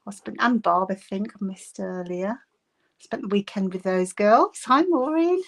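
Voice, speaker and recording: A woman's voice: medium pitch, expressive, measured pace. A woman with a Bristolian accent chats her way through a live crafting session. She giggles often and greets her viewers by name. She is recorded on a phone set on her desk at home. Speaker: craft livestream host. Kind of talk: casual live craft chat. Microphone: phone camera on desk.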